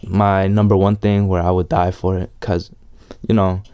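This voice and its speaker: A man's voice: low-pitched, little pitch movement, moderate pace, warm tone, smooth. A young man from El Salvador who lives in New York, chatting casually as an interviewee in an easygoing, slangy, hedging way. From El Salvador, long resident in New York. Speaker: interviewee, amateur BMX rider. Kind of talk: casual chat.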